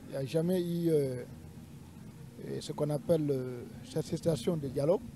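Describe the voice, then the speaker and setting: A man with a Malian accent answering formally as a panel guest, deliberate and measured, pausing between phrases. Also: a little high, mellow, slight background noise.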